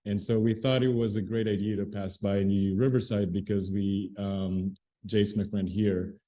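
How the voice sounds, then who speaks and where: A man's voice: on the low side, flat delivery, moderate pace, dark tone. A man introducing himself as a visitor at a church, hesitant, with many fillers. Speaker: visiting congregant. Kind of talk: informal self-introduction.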